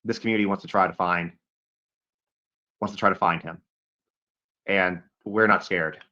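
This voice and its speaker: A man interviewing a guest, asking quick, eager questions and often restarting his sentences. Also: low voice, steady tone, sharp tone, highs cut off.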